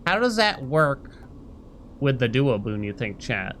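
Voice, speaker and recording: A man's voice: medium pitch, sing-song, measured pace, fairly bright tone, slight background noise. A man giving casual live gameplay commentary, thinking aloud, chatting with viewers and reading bits of on-screen text. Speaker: game streamer talking to live chat. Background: game music.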